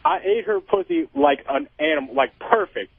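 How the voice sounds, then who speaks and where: A man's voice: very high-pitched, wildly swooping pitch, moderate pace, gravelly, no room sound. A man in his twenties phoning a radio show, chatting candidly in a rambling, somewhat boastful way. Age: 20s. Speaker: phone-in caller, military serviceman. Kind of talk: candid casual phone-in chat. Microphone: telephone. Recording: thin phone-line call to radio show.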